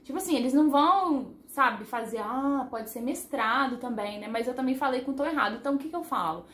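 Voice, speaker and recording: A woman's voice: sits high, fairly quick, fairly bright tone, reverberant. A woman giving a casual language lesson to her channel's viewers, chatty and rambling, often correcting herself and laughing at her own slips. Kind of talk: casual explanatory lesson to camera. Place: living in Taiwan.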